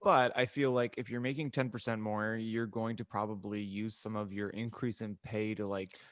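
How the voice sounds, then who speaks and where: A man's voice: lowish voice, little pitch movement, normal speed, a bit bright, dry recording, studio quality. A man co-hosting a casual podcast, talking in a rambling, conversational way. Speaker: podcast co-host.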